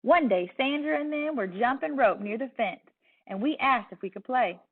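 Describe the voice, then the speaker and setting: A woman with a Southern US accent giving an expressive storybook read-aloud as a teacher, telling it in a young girl's words with soft dips at phrase ends. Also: sits high, moderate pace, slight background noise. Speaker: teacher reading a picture book aloud. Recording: distant, tinny phone-like sound.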